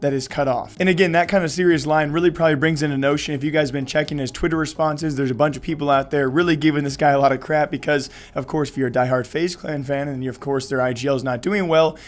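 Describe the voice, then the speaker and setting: A man's voice: fairly high pitch, fast, few pauses. A man hosting an esports news show, talking energetically while losing his voice. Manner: energetic, many fillers. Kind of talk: fast esports news commentary.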